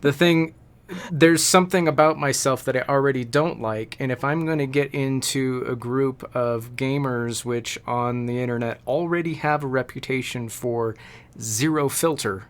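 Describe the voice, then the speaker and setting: A man's voice: medium pitch, normal speed, smooth. A man moderating a casual live-stream panel, posing probing questions.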